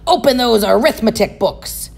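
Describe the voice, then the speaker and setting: A woman's voice: a little high, expressive, moderate pace, gravelly, a little mellow, breathy edge, voice pushed up, full character voice. A woman voicing a strict, harsh female substitute teacher who snaps out bossy commands in a storybook reading. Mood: stern, snapping.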